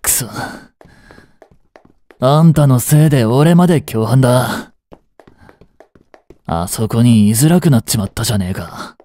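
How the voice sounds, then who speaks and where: An actor playing a rough young street punk working as a butler in an audio drama, blunt and teasing, with a grudgingly tender side. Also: male voice, medium pitch, expressive, measured pace, pauses often, dark tone, close to an everyday voice. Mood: gruff, irritated. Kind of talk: intimate Japanese audio drama acting addressed to the listener.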